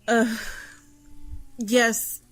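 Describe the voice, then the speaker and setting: A woman acts a weakened, shaken heroine in a fantasy audio story. She speaks slowly and haltingly in a fairly high voice, with faint music behind. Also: flat delivery. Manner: halting, apologetic.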